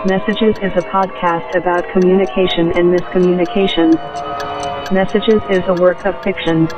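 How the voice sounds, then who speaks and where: Male announcer, high pitch, measured scripted intro, declarative, off-mic, music underneath. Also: flat delivery. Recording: dull, distant lo-fi recording with music.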